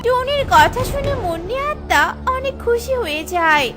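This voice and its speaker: A woman tells a scripted cartoon story as its narrator. Her voice is extremely high, and music plays clearly behind her. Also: sing-song, normal speed.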